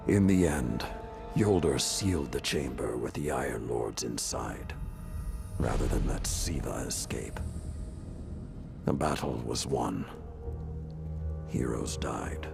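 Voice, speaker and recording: An actor playing a grave, world-weary old warrior lord in a video game, telling of things solemnly and deliberately in a gravelly voice. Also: male voice, exaggerated voice. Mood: solemn, regretful. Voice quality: deep, gravelly. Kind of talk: dramatic video game acting. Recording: loud music behind the voice. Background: loud music.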